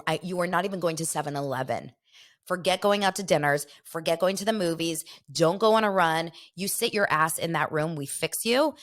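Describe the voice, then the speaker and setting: A young woman from Los Angeles hosting a podcast, chatting animatedly and gossiping while reading out viewer comments. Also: low-pitched. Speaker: podcast host. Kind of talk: casual chat.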